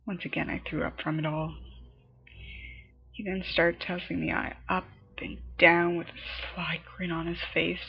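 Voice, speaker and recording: A woman narrating a scripted horror story in a dramatic manner, her phrases often dropping into a breathy hush. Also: fairly low pitch, little pitch movement, unhurried, a little hiss or hum. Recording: muffled lo-fi home recording, music behind. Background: background music.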